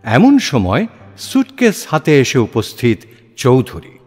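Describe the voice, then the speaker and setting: A man's voice: medium pitch, huge pitch swings, measured pace, over music. A man giving dramatic literary narration for an audio play. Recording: long hall-like reverb.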